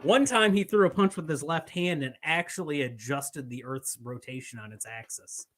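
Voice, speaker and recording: A man's voice: fairly high pitch, moderate pace, fairly bright tone. A man co-hosting a podcast, rambling through jokey stories in casual, profane banter. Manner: joking, rambling storytelling. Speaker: wrestling podcast co-host.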